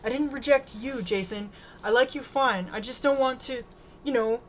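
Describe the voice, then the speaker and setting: A woman's voice: mid-range voice, steady tone, fairly quick, slight background noise, close to an everyday voice. A woman voicing a frightened teenage schoolgirl in an audiobook, pleading urgently and desperately. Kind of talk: audiobook character dialogue.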